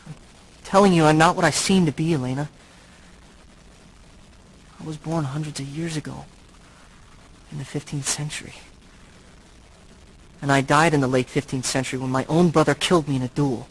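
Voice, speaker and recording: A centuries-old vampire for a game, tormented yet noble. His voice sits a little high for a man, with a husky, gravelly grain. He speaks gravely and haltingly, as if every line were a confession. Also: steady tone, poor audio. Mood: pained, confessional. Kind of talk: earnest video game voice acting.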